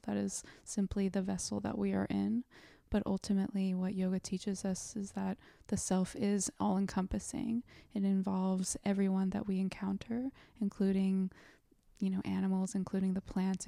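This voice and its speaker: A young woman from South Korea, speaking as an interview guest in a soft, thoughtful way with frequent pauses. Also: mid-range voice, gravelly, dry recording. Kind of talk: reflective interview talk.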